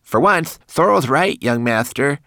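A man's voice: medium pitch, animated, a little slow, pushed and bright, dry recording, clean studio sound, voice pushed up. A man voicing a tiny, timid male manikin servant in a fantasy audiobook, formal, anxious and deferential. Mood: cautious.

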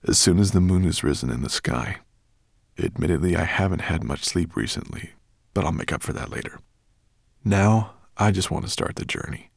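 Guy doing a gentle dragon, low gravelly voice, calm and resolute, storybook reading. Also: normal speed, no room sound, clean studio sound, exaggerated voice.

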